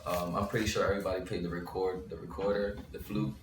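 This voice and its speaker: A young man with a very low voice talks through his story in a loose, rambling way, often restarting mid-thought. He sounds set back from the mic in an echoey room. A faint noise sits under him. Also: flat delivery, quick pace, distant mic. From Bushwick, Brooklyn, New York. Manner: rambling, restarts, thinking aloud. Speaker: rapper and interview guest. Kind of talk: casual interview talk.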